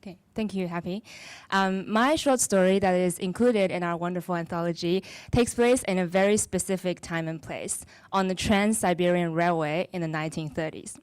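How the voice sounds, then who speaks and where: A woman author introducing, in a measured, explanatory way, the short story she is about to read aloud. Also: fairly low pitch, clear, resonant tone, no room sound. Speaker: author reading her story. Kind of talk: introducing and reading her own story.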